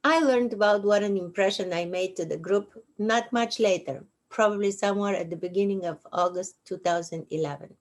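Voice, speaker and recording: A woman in her sixties with a Bulgarian accent, host of her own show, reading her memoir aloud slowly and deliberately with dry humor. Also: medium pitch, a little mellow. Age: 60s. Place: at home on a couch.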